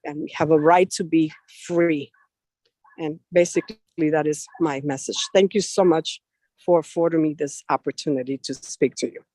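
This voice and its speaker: A woman's voice: sits low, fairly even intonation, normal speed, warm tone, no echo. An older woman giving a political solidarity message as a guest activist speaker.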